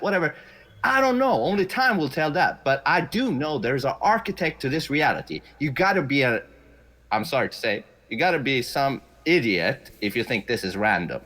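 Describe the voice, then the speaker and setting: A man's voice: on the high side, a bit bright. A man from Sweden, not a native English speaker, debating in a blunt, profane, insistent way. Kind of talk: heated debate.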